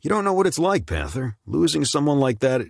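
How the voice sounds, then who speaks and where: A man's voice: on the high side, sing-song, brisk, gravelly, dry recording, studio quality, full character voice. A man acting a troubled, hard-drinking navy pilot officer in a film drama, terse and brooding. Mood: bitter. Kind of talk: dramatic animatic film dialogue.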